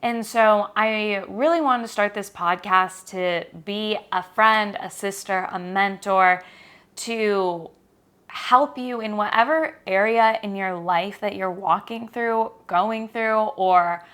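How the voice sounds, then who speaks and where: Podcast host: young American woman, unhurried, crisp and bright, speaking earnestly and encouragingly straight to listeners. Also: medium pitch. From Michigan, United States. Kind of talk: solo podcast monologue, personal introduction.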